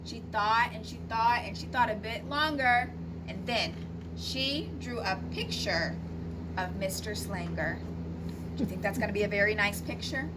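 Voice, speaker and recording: A woman teacher reading a storybook aloud to children, with expressive, deliberate phrasing and little explanatory asides. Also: mid-range voice. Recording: noisy recording, distant from the mic.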